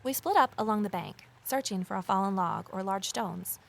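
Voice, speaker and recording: A woman's voice: mid-range voice, moderate pace, creaky. A woman playing an earnest, uneasy amateur investigator, confiding and intent as she narrates an audio drama. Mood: alert. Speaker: narrator and lead character.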